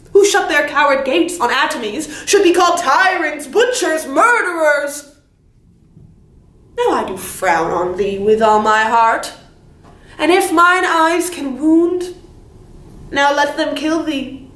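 A woman acting a scornful young shepherdess in a classical stage monologue, mocking and deliberate, speaking in verse. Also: sits high, fairly bright tone, heavily acted. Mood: mocking disbelief. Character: scornful young shepherdess rebuffing a lovesick suitor. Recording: roomy, faintly noisy audition recording.

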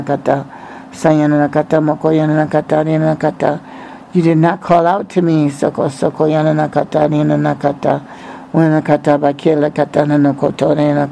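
A man's voice: a little high, gravelly, warm tone. A man preaching a religious prophecy, breaking short phrases up with repetitive, incantatory chanting in tongues, over some background noise.

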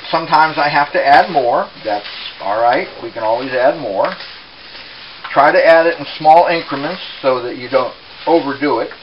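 A man presenting a casual home cooking demonstration in an unhurried, explanatory way, recorded in a kitchen. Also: mid-range voice. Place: small home kitchen, indoors. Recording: dull, hissy home camera audio.

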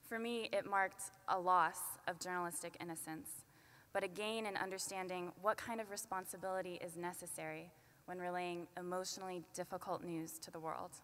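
A young woman in her twenties giving a commencement speech at a podium, earnest and measured with light self-deprecating asides. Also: fairly low pitch, pushed and bright, smooth. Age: 20s. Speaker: student commencement speaker. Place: large arena at a podium. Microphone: podium microphone. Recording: long arena reverb.

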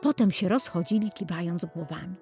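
A woman reading a children's storybook as a scripted, expressive and deliberate audiobook narration. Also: lowish voice, soft background music.

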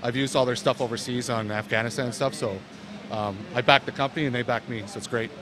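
A man in his thirties, a company representative, describing gear in a loose, relaxed way with many fillers, speaking into a handheld mic in an indoor expo hall. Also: medium pitch, fast, a crowd in the background. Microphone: handheld mic held by interviewer.

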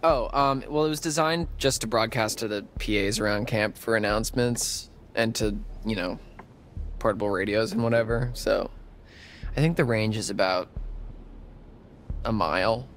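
A man's voice: on the low side, a little hiss or hum, lightly acted. An actor playing a nerdy young male camp counselor who rambles and stalls with fillers, in a video game. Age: young adult. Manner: rambling, halting with many fillers. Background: music.

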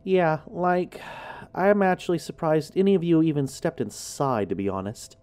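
Man putting on a sarcastic young woman, raised pitch. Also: sing-song, normal speed, darkish tone, smooth. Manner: teasing, sarcastic. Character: sarcastic, goading young woman in horror story.

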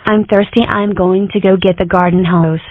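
A woman's voice: mid-range voice, steady tone, moderate pace, dry recording, lightly acted. A woman voicing a cheeky, contrary young girl fox in an amateur comic dub, delivering dry jokes matter-of-factly. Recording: muffled, phone-like narrow sound.

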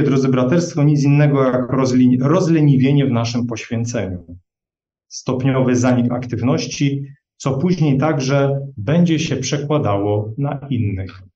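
A man delivers an earnest, measured sermon and keeps turning to his listeners as brethren. His voice is mellow and sits at an ordinary pitch. The dull, lo-fi recording catches him from a distance in an echoey hall. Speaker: lay preacher.

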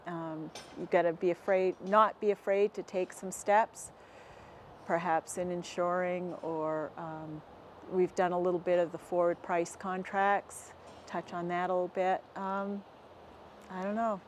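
An American woman in her forties, a dairy farmer answering interview questions in a plainspoken, halting and measured way. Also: on the low side, a little hiss or hum. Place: inside a barn with hay bales. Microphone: lapel mic.

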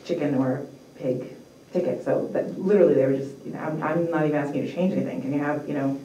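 A woman talks fast in a soft, mellow tone. She sounds informal and a bit tentative. The room is echoey, with faint noise behind her. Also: sits low, far from the mic, muffled recording. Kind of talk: conversational public comment at a meeting. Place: mid-sized indoor meeting room. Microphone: far room microphone.

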